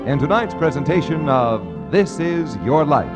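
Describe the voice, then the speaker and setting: A man's voice: on the high side, expressive, normal speed. An American man reading a 1940s radio advertisement in an emphatic, dramatic sales style. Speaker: commercial announcer. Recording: 1949 radio transcription disc, faint music.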